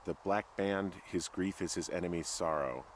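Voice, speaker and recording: An actor playing a wry, easygoing fire lookout in a video game, talking in a dry, self-deprecating, casual way. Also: male voice, low-pitched, normal speed, slight background noise, close to an everyday voice. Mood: reflective, wry. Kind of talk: naturalistic video game dialogue.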